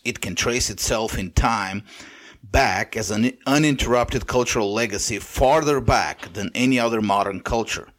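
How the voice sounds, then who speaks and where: Bulgarian accent guy doing a podcast lecture, steady, pauses and restarts now and then. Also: on the low side. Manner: measured, lecturing, with occasional restarts. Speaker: podcast host. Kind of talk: monologue commentary.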